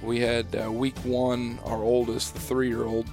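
A young man hosting a podcast, hoarse and losing his voice, talking in a loose, rambling way with many fillers and restarts. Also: medium pitch, monotone, a little slow, over music. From Pennsylvania. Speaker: podcast host. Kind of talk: casual chat.

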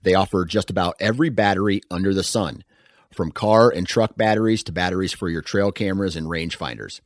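A man reading a scripted radio ad in a smooth, even sales delivery. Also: low voice, a little fast, no room sound, clean studio sound. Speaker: ad announcer.

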